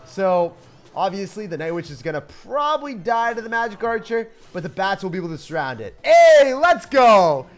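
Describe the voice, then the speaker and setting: A man's voice: high voice, big pitch swings, moderate pace. A young man giving hyped, playful, slangy commentary over gameplay as a video host. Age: young adult.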